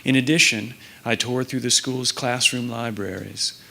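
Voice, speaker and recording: A man's voice: mid-range voice, steady tone, unhurried, creaky, sharp tone. A man in his forties reads a literary essay aloud on stage at a stand microphone. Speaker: literary event reader. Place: on stage in a theater.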